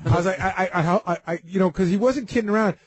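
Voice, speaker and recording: A man with a husky, slightly high voice tells anecdotes on a casual talk panel. He moves quickly and speaks bluntly, swearing freely. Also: no room sound. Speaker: radio show staffer on a talk panel.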